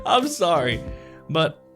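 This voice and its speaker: A man's voice: a little high, vocal fry. A man hosting his audio channel, chatting casually to viewers in a rambling, self-interrupting way. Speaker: audio roleplay creator and channel host.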